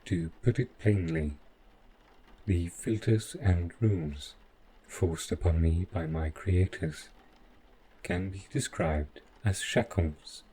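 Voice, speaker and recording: A man playing an overprotective robot butler gone rogue, speaking with formal, measured politeness in a scripted audio roleplay, calm and possessive. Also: sits low, slightly rough, exaggerated voice, poor audio. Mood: calm, explanatory.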